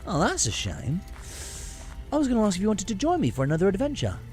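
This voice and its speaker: A man's voice: high-pitched, huge pitch swings, fast talker, a little mellow, faint music behind. A man playing a playful, flippant time-travelling adventurer in an audio drama. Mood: playful, flustered. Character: the Doctor, playful male time-travelling adventurer, quick and evasive.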